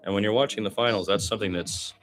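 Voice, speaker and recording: A man's voice: on the low side, fast, a bit bright. A man doing improvised live basketball play-by-play as a radio commentator, adding opinionated, reflective asides between calls.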